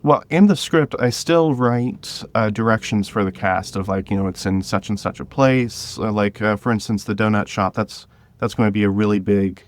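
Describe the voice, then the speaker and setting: A man's voice: lowish voice, moderate pace. A man speaking as a podcast guest, explaining his creative work in a casual, conversational way. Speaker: podcast guest, scriptwriter of an audio drama.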